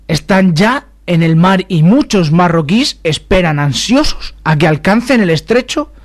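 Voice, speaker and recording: A solemn male politician with a notably high voice delivers an official statement, acted by a man. It is a parody speech for radio comedy.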